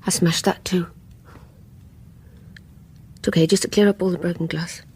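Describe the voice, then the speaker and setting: An actress playing a troubled, guilt-ridden woman narrating her dark secret in a psychological radio drama, intimate and halting, often dropping to a whisper. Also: fairly low pitch, steady tone, slightly rough. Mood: haunted, brooding. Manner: haunted, intimate, halting delivery.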